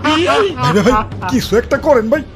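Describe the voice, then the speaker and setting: A man's voice: extremely high pitch, lively intonation, brisk, over loud music. A man voicing a worried, hesitant bystander in a comic cartoon, repeating himself anxiously in a Bangladeshi accent. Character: worried, hesitant male flood-stricken bystander.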